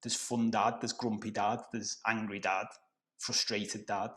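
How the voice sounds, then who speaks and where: A middle-aged man from Liverpool with a softened Scouse accent, explaining ideas as a coach on a podcast. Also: lowish voice, little pitch movement, measured pace. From Liverpool, England. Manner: explanatory, conversational, occasional swearing. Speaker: guest coach. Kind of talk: podcast conversation.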